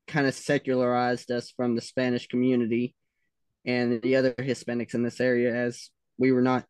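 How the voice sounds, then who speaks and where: A man with a Southern US accent, answering interview questions slowly, with many pauses and fillers. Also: medium pitch, clean tone, no room sound. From United States.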